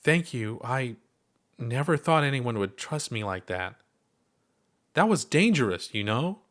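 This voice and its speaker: A man voicing an anxious, gentle female giant tusked monster in a storybook reading, with a fretful, raised voice. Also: medium pitch, very animated, measured pace. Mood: relieved, anxious.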